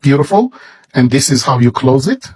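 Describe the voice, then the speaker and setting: A man with a Hebrew accent, a native Hebrew speaker, slowly and reverently showing off a bible as a product. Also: mid-range voice, sing-song, breathy edge. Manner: slow, deliberate, reverent. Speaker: seller presenting a bible. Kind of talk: product demonstration.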